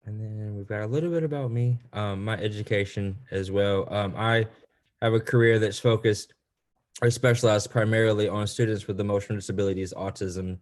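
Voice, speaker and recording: A man presenting at an online teacher webinar, speaking in a relaxed, halting way with frequent fillers. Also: on the low side.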